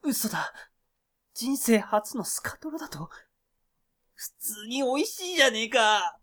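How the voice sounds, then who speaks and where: A woman voicing a hot-tempered male food fighter in a radio drama, with rough, blustering masculine speech. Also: a little high, very animated, a little slow, a bit bright, heavily acted. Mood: shocked, outraged.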